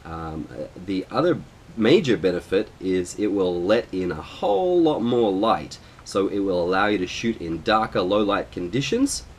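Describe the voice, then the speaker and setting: Deep-voiced man with a head cold. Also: normal speed, a little hiss or hum. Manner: relaxed, lots of ums and uhs. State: nasal from a cold. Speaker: photography vlogger. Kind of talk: casual tutorial talk to camera.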